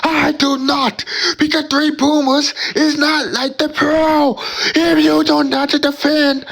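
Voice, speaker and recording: A man's voice: very high voice, flat delivery, vocal fry, a bit bright. A man commentating his own game, drawing out dramatic, boastful taunts and calling opponents noobs. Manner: drawn-out dramatic chanting taunts. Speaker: gamer commentating own match. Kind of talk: hyped trash-talk commentary.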